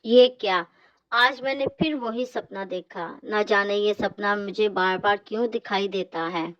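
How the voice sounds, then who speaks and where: Woman playing a slow-spoken, gentle young wife. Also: medium pitch, dry recording, lo-fi sound, natural voice. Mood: puzzled. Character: gentle, dutiful young daughter-in-law, troubled by dreams. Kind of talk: scripted cartoon dialogue.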